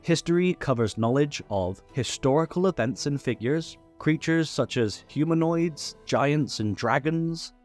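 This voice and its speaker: Male tutorial host: scripted, instructional read with playful asides, animated intonation, light music bed. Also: a little high, measured pace, few pauses. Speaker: host of a tabletop game tutorial. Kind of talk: scripted explainer narration.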